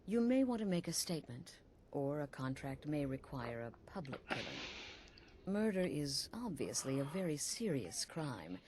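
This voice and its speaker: A woman voicing a cool-headed assassin leader, briefing a recruit in a calm, dry, matter-of-fact way. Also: sits low, normal speed, a little hiss or hum. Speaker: assassin guild leader giving orders. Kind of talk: video game character dialogue.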